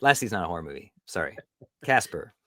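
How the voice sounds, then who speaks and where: Male podcast host for casual quiz banter, playful and teasing, animated intonation, dry room-free sound. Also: low-pitched, quick pace, no room sound. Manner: joking, teasing.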